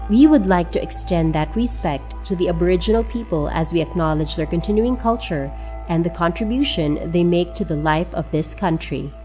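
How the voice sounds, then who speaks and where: A woman reads a formal acknowledgement slowly and solemnly. Her voice is on the lower side, with soft music playing faintly behind her. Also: animated. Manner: slow, solemn.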